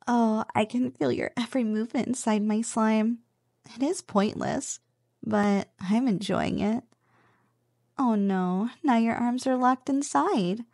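A woman playing a playful, possessive but affectionate slime girl roommate in an ASMR roleplay, speaking softly and breathily with a teasing manner. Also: on the high side, no room sound, studio quality. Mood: smug, teasing. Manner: playful, teasing, slow and savoring.

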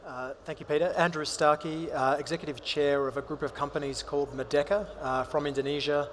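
A man introduces himself on a conference panel in a flat, matter-of-fact voice. The sound carries a long hall reverb and a faint background hum. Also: a little high, brisk.

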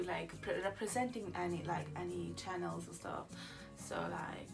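A woman's voice: lowish voice, vocal fry, recorded from a distance. A young woman from Italy chatting casually in a vlog, rambling with lots of fillers and restarts, sitting in a small room. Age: young adult. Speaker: YouTuber. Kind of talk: casual get-to-know-me chat.

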